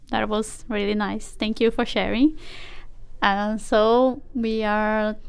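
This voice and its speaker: A woman hosting a podcast interview, soft and unhurried, who thanks her guest and wraps up the episode. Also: on the high side, gravelly, smooth. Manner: soft and unhurried, many quiet mm-hmms. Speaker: podcast host.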